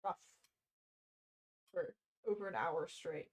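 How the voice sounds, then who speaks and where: A woman livestreamer who reads aloud and talks casually, in an expressive, self-deprecating way. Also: on the low side, slow. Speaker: livestreamer reading a book aloud.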